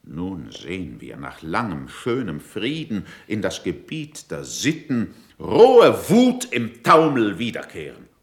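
A stern, eloquent courtier pleads for the law in classical radio drama verse. His delivery is slow and formal, with a lowish voice that swings widely for emphasis. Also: wildly swooping pitch, exaggerated voice. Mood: stern indignation. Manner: declamatory, formal, weighty pronouncements. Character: stern, eloquent courtier accusing a rival before his prince.

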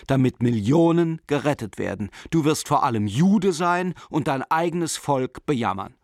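An actor playing a zealous, coldly formal German banker in a radio play, reading a letter in a measured, self-righteous, declamatory way. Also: male voice, medium pitch, no room sound, studio quality.

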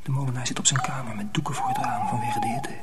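An actor playing an indignant, earnest young miller's apprentice boy in a radio drama, intense and often hushed and breathy. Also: mid-range voice, wildly swooping pitch, fast. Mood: hushed resolve. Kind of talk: radio drama dialogue and hushed first-person narration. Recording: noisy old radio play recording.

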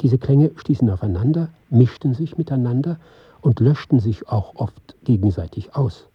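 An actor playing an earnest, reflective film pioneer, giving a measured, formal radio drama monologue in a husky voice. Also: male voice, medium pitch, expressive, dry recording, dull audio. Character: earnest, reflective male film pioneer recounting his experiments. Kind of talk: scripted radio drama monologue, read as lecture.

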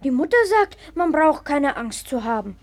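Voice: high voice, expressive, normal speed. A performer playing a trusting, willing young boy in a 1960s German radio play. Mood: earnest, trusting.

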